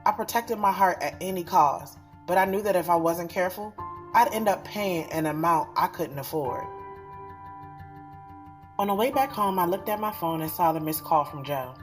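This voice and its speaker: A woman's voice: fairly low pitch, expressive, moderate pace, faint music behind, lightly acted. An actress playing a blunt, guarded, selfish woman who tells her own love story in slangy, profane audio drama. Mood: confessional, weary. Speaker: narrator and lead of an audio drama. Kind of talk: first-person confessional audio drama, slangy.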